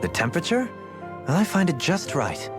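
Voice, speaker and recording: Refined, unruffled young aristocrat. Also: male voice, a little high, wildly swooping pitch, over music. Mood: unruffled, courtly. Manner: formal, pompous, measured. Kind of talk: video game cutscene acting.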